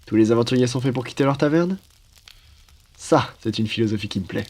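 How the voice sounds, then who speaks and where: A man playing a hospitable, wise phoenix in a French roleplay, speaking in a calm, reassuring and attentive way. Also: medium pitch, fairly quick, close to an everyday voice. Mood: welcoming, reassuring. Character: wise hospitable male phoenix welcoming travelers into his cave. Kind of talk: French roleplay ASMR storytelling.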